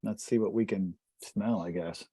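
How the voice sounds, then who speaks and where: A man's voice: on the low side, steady tone, normal speed, warm tone, slightly rough, no echo. A man chatting casually as a player in a tabletop role-playing game.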